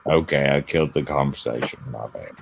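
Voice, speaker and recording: A man's voice: a bass voice, fairly quick, vocal fry, slightly rough, dull audio. A man of about fifty in casual group chat, joking and swearing. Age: 50s. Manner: joking, profane.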